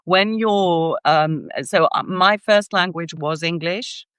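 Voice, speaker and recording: A woman from Greece, a guest scholar, talking in a casual interview in an animated, emphatic way, often restarting her sentences. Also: fairly low pitch, takes it slowly, clear, resonant tone, no echo.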